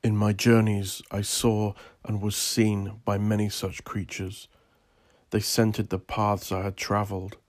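A man narrates from a script with solemn, measured care, as an author hosting his podcast. His voice is low and fairly even in pitch. The sound is close and dry. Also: no room sound. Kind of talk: scripted dramatic gothic narration and podcast sign-off.

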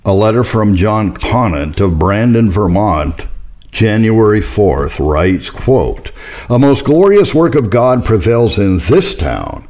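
Guy with a lowish voice reading out old church letters, formal and measured, audiobook style. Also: big pitch swings, a little mellow. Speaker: narrator reading historical religious texts. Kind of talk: scripted narration, audiobook reading.

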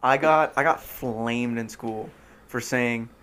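A man's voice: mid-range voice, slow, clear, resonant tone. Young man, joking podcast banter. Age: 20s. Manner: animated, jokey, says bro a lot. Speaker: podcast co-host. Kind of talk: casual chat.

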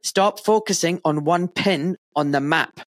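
A Glaswegian man talks in a higher-pitched voice, animated and emphatic, and he swears freely. The sound is dry and close, with no room around it. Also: unhurried, dry recording. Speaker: podcast host. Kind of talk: casual podcast conversation.

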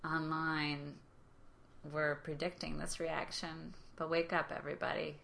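A woman chatting on a podcast in a playful, teasing way, often breaking into laughter. The recording carries clear background noise. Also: low-pitched, little pitch movement, unhurried. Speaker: podcast co-host. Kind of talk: casual chat. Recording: noisy recording.

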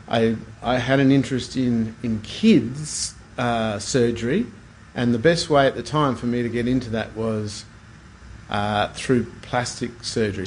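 A middle-aged Australian man answers questions as a podcast guest. His voice sits at a medium pitch, and his delivery is casual, unassuming and a little hesitant. Room noise can be heard behind him. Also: a little mellow, poor audio. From Brisbane, Australia. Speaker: guest, plastic surgeon. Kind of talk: casual interview chat.

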